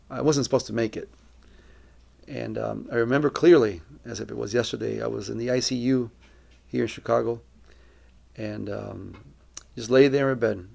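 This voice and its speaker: A middle-aged man with a very creaky, gravelly voice at an ordinary male pitch. He gives a personal testimony as a missionary, speaking earnestly and reflectively, choosing his words with deliberation. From Colombia.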